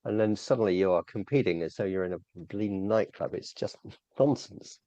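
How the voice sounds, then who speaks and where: Low-voiced chatty man, dry dull line. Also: normal speed, warm tone, no room sound. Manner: chatty, laughs often, fillers. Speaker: podcast guest. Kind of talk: casual panel chat.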